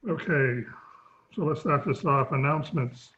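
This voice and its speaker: A man with a fading, husky voice chairs a town sewer commission meeting over a video call, stumbling through a scripted opening. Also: on the high side, a little slow, pushed and bright, slight background noise. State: losing his voice. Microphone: remote video call. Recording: dull lo-fi call audio.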